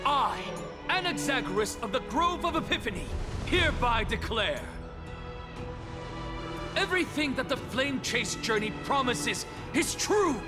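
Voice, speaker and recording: An actor playing an arrogant heretic professor in a video game, declaiming with theatrical scorn. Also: male voice, extremely high pitch, very animated, unhurried, over music, exaggerated voice. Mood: grand, proclaiming. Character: arrogant male scholar, theatrical heretic professor lecturing an assembly. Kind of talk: dramatic video game voice acting.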